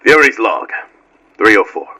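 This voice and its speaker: An actor playing a grave, weary military commander dictating a somber log through a radio filter. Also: male voice, fairly low pitch, big pitch swings, unhurried, pushed and bright, husky, soft background music, full character voice. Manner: somber, clipped. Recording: muffled radio-style log recording.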